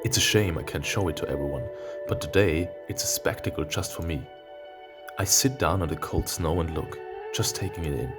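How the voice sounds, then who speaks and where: A man's voice: deep voice, moderate pace. A man who is not a native English speaker, reading a visual novel aloud as a let's player and breaking off for sweary, sarcastic commentary. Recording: background music behind the voice. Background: game music.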